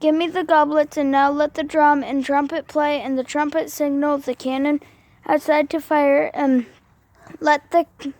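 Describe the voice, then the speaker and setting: A woman playing a commanding king in an amateur home video, reciting haltingly and stumbling over words. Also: high-pitched, level tone, darkish tone, no room sound. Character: male king, scheming and commanding.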